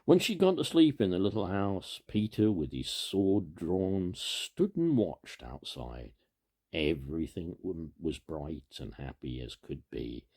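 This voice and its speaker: Low husky guy reading a storybook out loud, takes his time, voice swings up and down loads. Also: sing-song, slow pace. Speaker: storybook reader. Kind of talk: children's story read aloud with informal asides.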